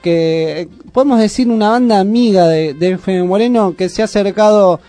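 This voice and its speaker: A man with an Argentine accent hosting a casual community radio show, chatty and full of "eh" pauses. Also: high voice, mellow. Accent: Argentine Spanish. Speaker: radio host. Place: radio studio.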